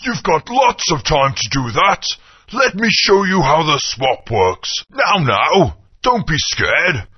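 A jovial old magic stone, booming a hearty laugh and guiding the player with kindly patience. His voice swoops up and down, slow and a bit husky, from dull lo-fi game audio. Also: very animated, exaggerated voice. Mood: patient. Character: jolly old male Swapstone, a magic talking rock. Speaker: game helper character.

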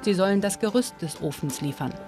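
A woman narrating a documentary in a calm, measured, informative way. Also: mid-range voice. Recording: voice-over with music behind. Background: music.

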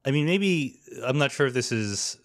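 A man's voice: mid-range voice, animated, normal speed, fairly bright tone. A man hosting a podcast interview, asking thoughtful questions with hesitant fillers. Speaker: podcast host.

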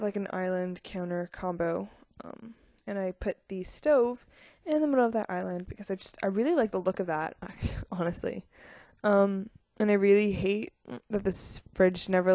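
A young woman talks loosely over her game build footage, with a pitch that sits in an everyday middle range. She rambles and hesitates, dropping frequent ums and little laughs. Her voice is close to the mic and dry, with no room around it. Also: a little mellow, no room sound. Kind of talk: casual voice-over commentary on a speed build.

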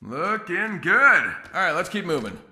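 A man's voice: high voice, very animated, moderate pace, pushed and bright, slight background noise, exaggerated voice. An actor voicing a cocky, joking young male combat trainer in a video game tutorial, wisecracking and breezy with theatrical delivery. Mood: upbeat. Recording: music behind the voice.

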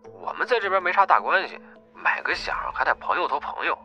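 A man's voice: fairly high pitch, big pitch swings, fairly quick, sharp tone, faint music behind, voice pushed up, heavily acted. A man playing a stubborn, determined young gang member in an audiobook, heard through a telephone filter. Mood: insistent. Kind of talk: audiobook character acting. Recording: dull phone-filtered sound.

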